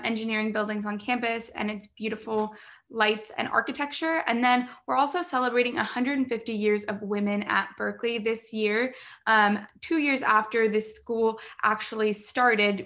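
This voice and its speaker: A woman's voice: a little high, fairly even intonation, moderate pace, a bit bright. A young woman from Southern California, a student tour guide talking casually with many fillers over a video call. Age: young adult. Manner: friendly, filler-heavy. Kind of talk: casual presentation.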